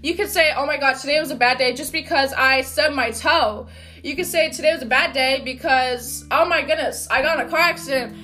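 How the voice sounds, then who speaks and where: Loud upbeat woman, high bright voice. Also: fairly quick, soft background music, low-quality recording. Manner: loud, upbeat and encouraging, with laughs and casual fillers. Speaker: podcast host. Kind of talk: motivational solo podcast talk.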